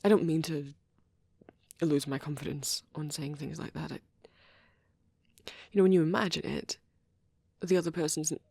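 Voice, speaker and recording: Shy vampire boyfriend performed by a woman for ASMR roleplay: breathy, creaky, nervous and halting, very dry intimate recording. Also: sits low, fairly even intonation, no echo, heavily acted. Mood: shy, flustered. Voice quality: soft, husky, breathy. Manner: hesitant, stammering, self-doubting. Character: shy, nervous young male vampire on a date.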